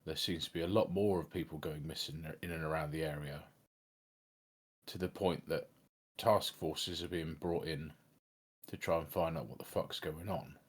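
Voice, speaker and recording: Very deep-voiced man explaining. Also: steady tone, moderate pace, slightly rough. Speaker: tabletop roleplay player. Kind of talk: improvised in-character discussion.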